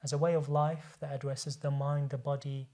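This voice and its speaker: A man speaks as an invited guest, laying out his points earnestly and at length. His voice sits in an ordinary middle pitch, and he often stumbles and repeats a word before he picks the sentence up again. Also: level tone, moderate pace, darkish tone. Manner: earnest and explanatory, often repeats words as he restarts. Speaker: guest speaker, medical doctor. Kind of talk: explaining religion in a studio discussion.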